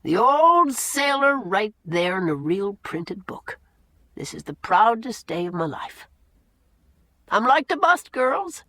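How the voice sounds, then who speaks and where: A warm-hearted old sailor from a storybook, talking in homely, folksy turns, as a woman voices him. His lines come in a lively, rising lilt. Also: medium pitch, slow, dry recording, exaggerated voice. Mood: proud. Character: old male sailor, proud and hospitable.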